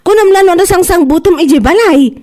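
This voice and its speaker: A woman's voice: extremely high pitch, expressive, a little fast, dark tone. A woman acting a skeptical, scolding pregnant wife in a radio comedy drama. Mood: bossy.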